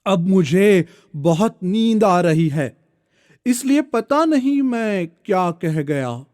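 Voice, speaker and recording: A man voicing a scheming, fawning palace servant in an animated storybook tale, with drawn-out, sleepy, pleading speech. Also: sits high. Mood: feigned drowsiness.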